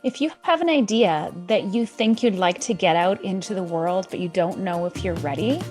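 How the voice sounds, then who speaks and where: A woman tells her story as a podcast guest, chatty and lively. Her voice sits in a middle pitch for a woman. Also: moderate pace, faint music behind. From Canada. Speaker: guest, author and educator. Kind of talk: podcast interview.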